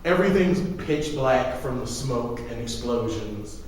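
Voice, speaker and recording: Young male podcast co-host, medium pitch, sweary casual storyteller; strong echo, distant mic. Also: lively intonation, measured pace. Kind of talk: casual chat about video games. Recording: hollow reverberant room, distant mic, faint noise.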